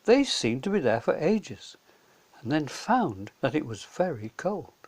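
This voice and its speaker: A man narrates a children's story from a script. His pitch moves around a great deal as he reads, giving the storytelling an animated shape. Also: a little slow, breathy edge. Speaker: storyteller, reader.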